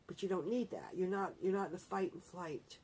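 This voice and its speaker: A woman's voice: fairly low pitch, steady tone, fairly quick, warm tone, slightly rough. A middle-aged woman vlogger chatting casually to her viewers in a rambling, self-correcting way. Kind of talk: casual talk to camera.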